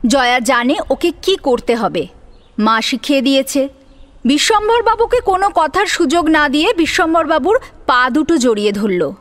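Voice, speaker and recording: High, animated woman storyteller. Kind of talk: dramatic narration of a serialized story.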